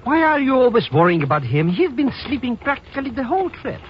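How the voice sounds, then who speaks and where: An actor playing a cowardly, wisecracking comic sidekick, slangy, excitable and complaining, in a 1950s radio drama. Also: male voice, very high voice, fast talker. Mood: grumbling. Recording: muffled, noisy old radio recording.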